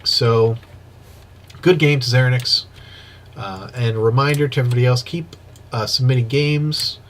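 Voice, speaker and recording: A man's voice: medium pitch, normal speed, a little hiss or hum. Sniffly man casting a game. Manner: excitable play-by-play with casual asides. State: stuffy, sniffly nose. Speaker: game commentator. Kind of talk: casting a strategy game replay. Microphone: old microphone he wants replaced.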